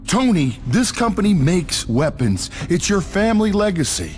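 An actor playing an exasperated, forceful arms company executive in a video game, emphatic and confrontational. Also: male voice, high voice, big pitch swings, normal speed.

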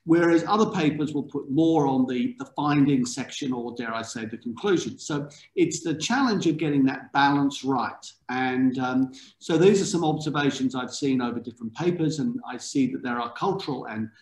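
A man, chairing an academic panel on a video call, talking in a relaxed, conversational way with asides. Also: mid-range voice, moderate pace, few pauses. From England. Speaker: panel chair, journal editor. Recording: roomy video call audio.

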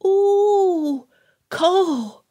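A woman voicing an excited small toddler boy in an audiobook, with babyish, mispronounced single words. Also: very high voice, drawn-out words, pitched above the performer's own voice, full character voice. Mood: delighted. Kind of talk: audiobook character voice.

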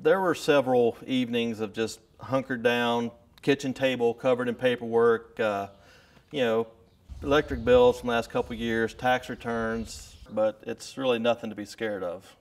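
A middle-aged man farmer, interviewed in a hay barn, speaking in a relaxed, reassuring, plain way. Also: medium pitch, normal speed.

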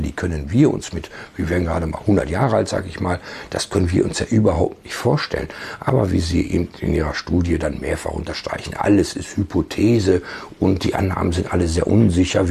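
Male video host, fifties, low register, badly hoarse and raspy, informal sceptical commentary. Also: darkish tone. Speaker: YouTube host. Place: book-lined study, indoors. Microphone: lapel mic.

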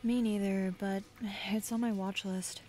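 A woman acting a laid-back, teasing young cybergoth with a deadpan manner in an ASMR roleplay. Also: medium pitch, measured pace, faint music behind, natural voice.

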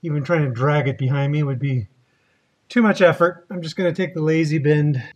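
A man's voice: fairly high pitch, steady tone, fairly quick, no echo. A middle-aged man chatting casually to a handheld camera outdoors as a hiking vlogger, in a jokey, exclamatory way. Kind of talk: casual outdoor vlog chat. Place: outdoors in sandstone river canyons. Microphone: handheld action camera at arm's length.

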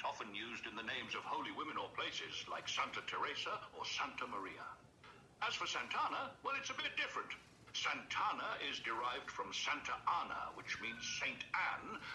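A man's voice: mid-range voice, sharp tone, poor audio. A man voicing a jolly, kindly old Santa Claus in a phone chat, exuberant and courteous, explaining things in a scholarly way. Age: older. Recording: phone speaker playback, some background noise.

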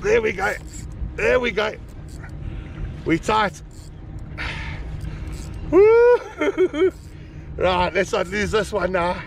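Gravelly-voiced man cheering from a kayak. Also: sits high, very slow, long pauses. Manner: excited whoops and cheering while fighting fish. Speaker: kayak angler. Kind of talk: casual fishing commentary. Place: kayak on open sea, outdoors. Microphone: action camera on the boat. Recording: outdoor camera with wind and water noise.

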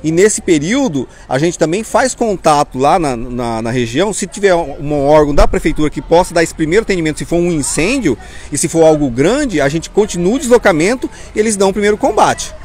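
A man in his forties with a Brazilian accent, a firefighter officer answering an interviewer haltingly, repeating words as he goes. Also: fairly high pitch, lively intonation, a little mellow. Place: outdoors in front of a fire station. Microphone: small mic held at chest level by interviewer. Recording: outdoor street ambience noise.

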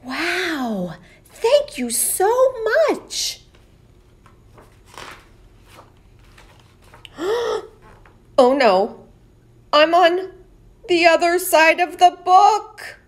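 A woman voicing a small boy knight in a children's picture book read-aloud, with drawn-out, dramatic delivery. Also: extremely high pitch, lively intonation, pitched above the performer's own voice, heavily acted. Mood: alarmed, amazed. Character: small boy knight, smug then alarmed, storybook.

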